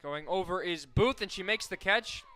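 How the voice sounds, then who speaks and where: Bright, crisp male play-by-play announcer. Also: sits high, normal speed. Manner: rises sharply and loud on big plays, corrects himself often. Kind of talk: radio play-by-play commentary.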